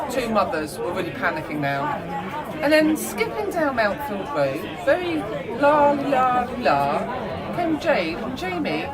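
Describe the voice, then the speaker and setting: Middle-aged mum amid loud chatter. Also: female voice, mid-range voice. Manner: sweary, acts out dialogue. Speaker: mother telling family stories. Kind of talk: casual animated storytelling. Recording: busy public room chatter.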